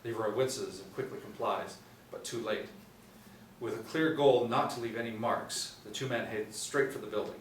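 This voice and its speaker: A man's voice: on the low side, normal speed. A middle-aged man reading scripted stage directions as narrator at a table read. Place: small indoor room, seated reading from tablet. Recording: echoey room, distant mic, faint noise.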